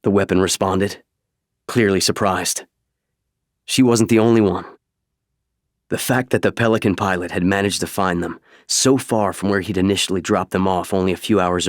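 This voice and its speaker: A man reading scripted audiobook narration in a measured, dramatic way, close to a studio microphone. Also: low-pitched, no room sound.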